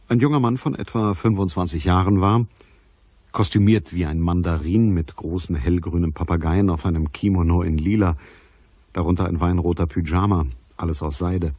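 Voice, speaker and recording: An actor playing a sceptical private detective in a radio play, speaking in a dry, measured, matter-of-fact way. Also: male voice, sits low, darkish tone, slightly rough, no room sound. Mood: wry, narrating. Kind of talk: radio play narration and dialogue.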